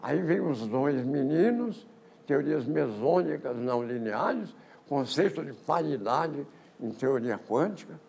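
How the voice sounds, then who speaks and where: An older man, giving a physics colloquium talk on history in a lecture hall, halting often with repeated words and false starts. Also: medium pitch, expressive, vocal fry, a little mellow, husky. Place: lecture auditorium.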